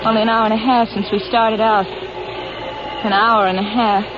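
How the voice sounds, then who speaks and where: An actress playing a frightened but resolute young bus passenger in 1940s radio suspense style, dramatic and urgent. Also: female voice, a little high, level tone, measured pace, lightly acted. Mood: anxious. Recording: muffled, noisy vintage radio recording.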